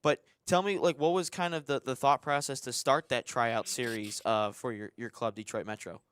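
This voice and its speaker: A man's voice: medium pitch, steady tone, moderate pace, fairly bright tone, clear, resonant tone. A man co-hosting a soccer podcast, asking a question in a chatty, conversational way. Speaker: podcast co-host. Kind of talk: podcast interview.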